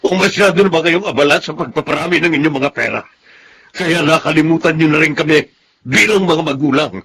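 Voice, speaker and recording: A man's voice: fairly high pitch, fairly quick, a bit bright, breathy edge. An actor playing an ailing father in a Filipino drama, formal and emphatic in his delivery. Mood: reproachful. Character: stern, hurt ailing father reproaching his grown sons. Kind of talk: Filipino radio drama acting.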